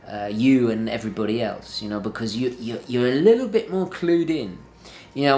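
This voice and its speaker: A young man talking to camera from his home study, earnest and emphatic, often restarting and repeating words as he makes a point. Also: medium pitch. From born in London, early childhood in northern Italy. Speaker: lifestyle vlogger. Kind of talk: casual talk to camera. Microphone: camera at desk distance. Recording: echoey room.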